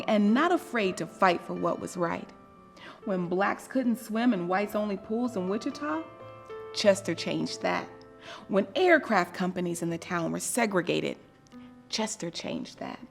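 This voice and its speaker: A woman reading a children's story aloud in an expressive, scripted style, with deliberate dramatic emphasis and pauses. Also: mid-range voice, creaky.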